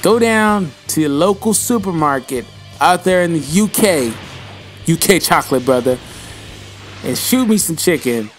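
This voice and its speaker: A man's voice: on the high side, lively intonation, a little slow, soft background music. A young man answering viewer questions in a casual vlog, rambling and slangy and calling viewers bro, with his voice starting to go. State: losing his voice.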